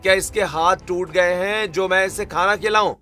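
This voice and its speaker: Man acting an angry, bullying young husband, bright voice. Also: high voice, steady tone, moderate pace, faint music behind. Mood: irritated. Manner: shouting, scolding, impatient. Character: hot-tempered, contemptuous young husband who bullies his wife. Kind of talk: dramatized Hindi family storytelling.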